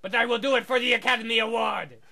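Gravelly, high-pitched Frankenstein monster. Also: male voice, fairly even intonation, fast talker, bright, forceful tone, full character voice. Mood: eager, clowning. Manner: broken third-person caveman grammar. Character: dim, eager male Frankenstein monster wanting acting work. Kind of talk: improvised comedy character bit.

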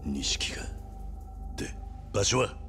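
An actor playing a gruff, loyal young yakuza lieutenant with a terse, grave manner in a video game drama. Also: male voice, slow pace, bright, forceful tone, in a whisper, soft background music. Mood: tense, urgent.

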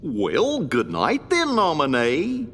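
An actor voicing a good-natured, gentle cartoon dog in a video game, with a slow, folksy drawl. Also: male voice, fairly high pitch, wildly swooping pitch, long reverb tail, slight background noise, full character voice.

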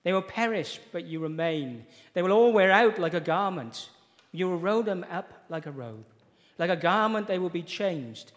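A man leading a church service, reading scripture aloud in an earnest, measured way and praying. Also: fairly high pitch. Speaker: church leader introducing the preacher. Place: church sanctuary with wooden panelling. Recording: long reverb of a church hall.

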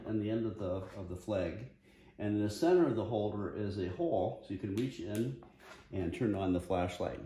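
A man's voice: on the low side, a little mellow, a little hiss or hum. A man in his sixties, plain-spoken and folksy, explaining his homemade kayak fishing gear in a casual how-to video at home, with lots of "uh" fillers. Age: 60s. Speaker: DIY kayak angler. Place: home room with wood floor. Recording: echoey room.

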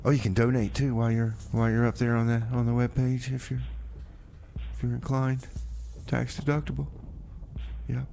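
A man's voice: mid-range voice, little pitch movement, normal speed, vocal fry, pauses often, warm tone, slight background noise. A man hosting a community radio music show, back-announcing songs in a rambling, wry way. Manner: rambling, self-interrupting, wry. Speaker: radio DJ and host. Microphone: studio microphone.

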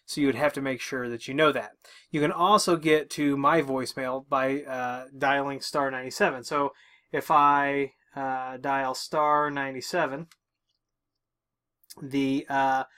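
A man's voice: medium pitch, little pitch movement, a little slow, bright, forceful tone. A middle-aged man giving an informal tech walkthrough, talking through each step as he goes. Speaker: tech tutorial host. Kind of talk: casual instructional walkthrough. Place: small room with tech equipment, indoors.

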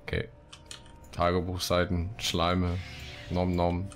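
Low-pitched man commentating a game. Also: completely flat delivery, slow, soft background music. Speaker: let's play host. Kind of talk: casual gameplay commentary with read-out quest texts.

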